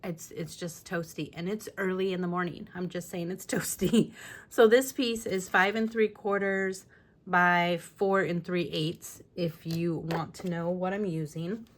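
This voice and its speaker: A woman with a lingering raspy voice talking casually through a scrapbooking tutorial at home, with chatty, apologetic asides while she works. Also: fairly low pitch, steady tone, measured pace. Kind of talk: casual crafting tutorial talk. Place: home craft room. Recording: faint room noise from fan. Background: fan running.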